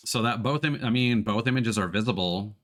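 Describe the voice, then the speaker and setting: A man's voice: fairly low pitch, brisk, a bit bright. A man giving casual gaming commentary, thinking aloud and sometimes reading on-screen text. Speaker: streamer. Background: game music.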